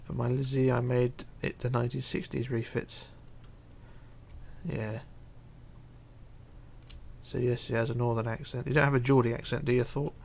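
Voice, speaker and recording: A man hosting a casual livestream, reading chat aloud and chatting in a rambling, halting way. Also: mid-range voice, flat delivery, gravelly, darkish tone, slight background noise. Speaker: Minecraft livestreamer.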